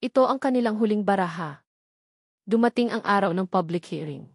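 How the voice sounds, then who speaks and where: A woman's voice: medium pitch, expressive, brisk, slightly rough, dry recording, studio quality. A woman with a Filipino accent reading a scripted dramatic story as its narrator.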